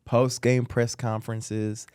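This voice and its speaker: A man's voice: medium pitch, flat delivery, slow, darkish tone, smooth, dry recording, studio quality. A man co-hosting a casual sports podcast, talking in slangy, hyped buddy talk. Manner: slangy, hyped, says bro and man often. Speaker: podcast co-host.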